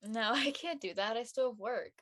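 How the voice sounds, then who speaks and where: Higher-voiced, hesitant young woman actress as a student. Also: moderate pace, fairly bright tone, close to an everyday voice. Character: homesick, worn-down young woman college student. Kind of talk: acted dialogue in an audio-led play.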